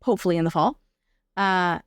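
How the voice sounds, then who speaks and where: A woman's voice: fairly low pitch, brisk, smooth, dry recording, studio quality. Canadian woman, casual thoughtful interview guest. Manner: informal, thoughtful, explains through examples and rhetorical questions. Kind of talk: casual, reflective interview talk.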